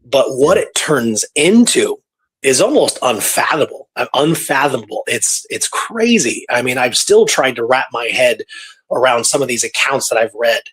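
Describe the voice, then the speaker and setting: Male radio co-host, a touch raspy, average pitch, tentative and reflective conversational delivery. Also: expressive, normal speed, a bit bright, poor audio. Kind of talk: casual discussion of a historical story.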